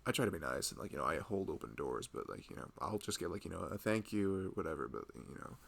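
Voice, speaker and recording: A young man playing a loving, earnest husband chatting casually and intimately with his pregnant wife in an ASMR roleplay, rambling and halting as he goes. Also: sits low, lively intonation, gravelly, fairly bright tone, slightly rough, heavily acted. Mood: reflective, vulnerable.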